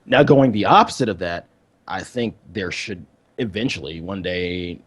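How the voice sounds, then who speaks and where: A man co-hosting a gaming podcast in casual, unscripted chat, with somewhat halting phrasing. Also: low-pitched. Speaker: podcast co-host. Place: indoors, online voice call.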